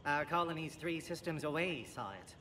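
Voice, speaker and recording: Amphibian alien officer, bright forceful tone, clipped military precision, dry, game dialogue, faint noise. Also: male voice, a little high, animated, moderate pace. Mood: brisk, wry. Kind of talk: video game cutscene acting.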